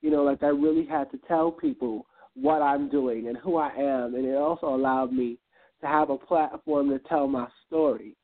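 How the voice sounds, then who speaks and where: A man from Guyana talks over a thin, tinny phone line. His voice sits at a middling pitch. He is earnest and reflective and pauses often on ums and uhs. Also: steady tone. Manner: earnest and reflective, with many fillers. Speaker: guest, event planner and entrepreneur. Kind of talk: motivational interview talk.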